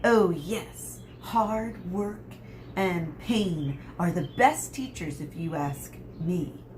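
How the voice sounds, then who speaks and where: A woman's voice: lowish voice, expressive, extremely slow, darkish tone, slight background noise. A woman reading aloud voices a spiteful old male caretaker who gloats menacingly. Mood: gloating.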